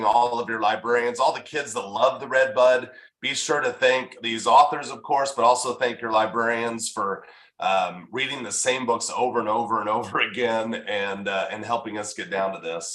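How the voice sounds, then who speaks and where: Guy with lowish bright voice, echoey room, informal earnest thank yous, bit hesitant. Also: fairly even intonation, moderate pace. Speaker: event co-organizer. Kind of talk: informal thank-you remarks at online event. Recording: roomy, echoing sound.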